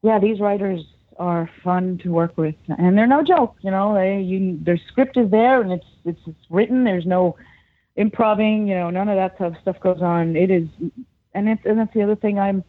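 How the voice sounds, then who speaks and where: A woman's voice: mid-range voice, normal speed, no room sound. A middle-aged woman, an actress, chatting in an interview, telling stories animatedly and acting out quotes with frequent laughter. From United States. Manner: animated storyteller, acts out quotes, laughs often. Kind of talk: casual interview chat. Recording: tinny phone-line sound.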